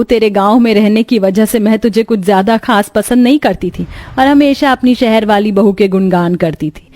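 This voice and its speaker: महिला की आवाज़ में शिकायती बुज़ुर्ग सास. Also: female voice, medium pitch, dark tone, no room sound, close to an everyday voice. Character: elderly village mother-in-law, grumbling, hard to please. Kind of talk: cartoon moral story dialogue.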